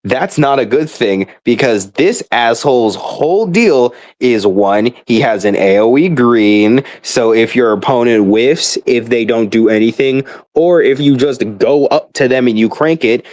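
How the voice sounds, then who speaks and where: A man with a mid-pitched voice rambles through a casual gaming rant. He sounds exasperated and emphatic, dropping swear words freely as he goes. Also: unhurried, few pauses, a little mellow. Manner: rambling, profane.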